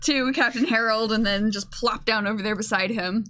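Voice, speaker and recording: Female tabletop player, somewhat high voice, casual and playful. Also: moderate pace, sharp tone. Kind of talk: casual playful game chat.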